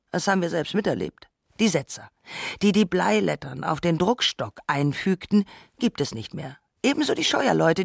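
Creaky higher-pitched male radio narrator. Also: no room sound, studio quality. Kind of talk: scripted radio essay narration.

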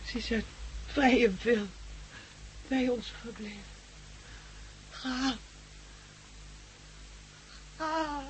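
Frail, famished female survivor, high voice, insistent and pleading; noisy vintage radio drama recording. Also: very slow, pauses often. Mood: weak, resigned. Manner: insistent, pleading, repeating demands. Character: desperate starving blind survivor woman, weak and ill. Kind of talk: 1960s Dutch radio drama acting.

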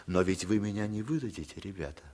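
A man acting a German deserter on the run, telling his story and pleading in low, hushed tones in an old radio play. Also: fast talker. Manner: tense, hushed, dropping to whispers. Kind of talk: 1950s radio drama acting.

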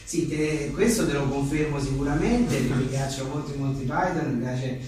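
A man's voice: on the high side, normal speed, darkish tone. A man being interviewed as a writer, talking casually in a rambling, self-deprecating and joking way. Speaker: journalist and author being interviewed. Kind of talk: casual live interview about a book. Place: echoey indoor venue at a live book talk. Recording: very echoey room, distant mic, faint noise.

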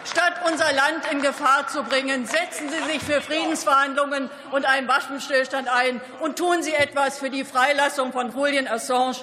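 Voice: high voice, fairly even intonation, normal speed, hardly any pauses, background noise. A politician delivering a fiery speech in an indignant, accusatory manner, full of rhetorical questions. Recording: echoey hall with long reverb.

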